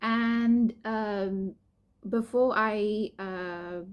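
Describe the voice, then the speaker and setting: A woman's voice: mid-range voice, fairly even intonation, extremely slow, clear, resonant tone. Hesitant young woman talking candidly. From Sri Lanka. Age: young adult. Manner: hesitant, many fillers and restarts, soft laughs. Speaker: PhD student sharing her cancer story. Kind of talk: personal vlog, candid explanation.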